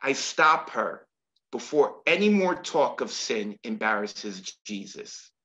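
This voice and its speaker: Bright-toned spoken-word poet, dramatic. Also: male voice, big pitch swings, takes it slowly. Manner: rhythmic, dramatic delivery with sudden swings in pitch and volume. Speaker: performance poet. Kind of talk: spoken-word poetry performance. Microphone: video call.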